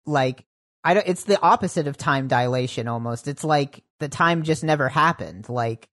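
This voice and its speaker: A podcast co-host chatting casually, asking questions with halting restarts. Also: medium pitch, a bit bright. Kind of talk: casual chat.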